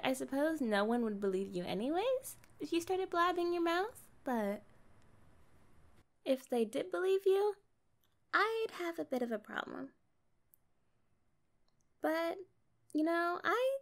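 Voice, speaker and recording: A young woman playing a kind, curious but guarded mermaid in a conversational ASMR roleplay, chatting casually with hesitant pauses. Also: high voice, sing-song, heavily acted. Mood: cautious, then shy, fond.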